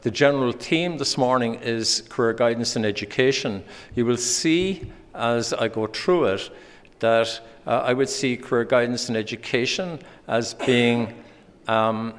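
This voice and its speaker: A man from Ireland with an Irish accent, giving a conference presentation with many pauses and restarts. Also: mid-range voice. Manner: hesitant, many ums and restarts. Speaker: conference speaker. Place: conference hall. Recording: hall reverb.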